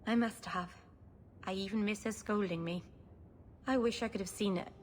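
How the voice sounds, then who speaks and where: A woman playing a gentle, lonely young elf in a video game, wistful and self-deprecating. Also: medium pitch, steady tone, normal speed, close to an everyday voice. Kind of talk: video game cutscene acting. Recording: faint background noise.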